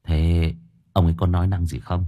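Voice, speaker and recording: Man voicing a husky, nosy younger neighbour. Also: low voice, little pitch movement, moderate pace, mellow, voice pushed down. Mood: probing. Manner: probing, colloquial, admonishing. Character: nosy younger neighbour questioning and gently chiding a wife.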